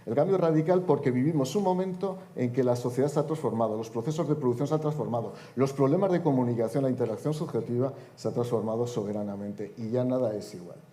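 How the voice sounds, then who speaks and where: A man's voice: mid-range voice, fast, hardly any pauses, dark tone. A man in his fifties speaking on a conference panel, delivering an oratorical talk with wry, joking asides. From Spain. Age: 50s.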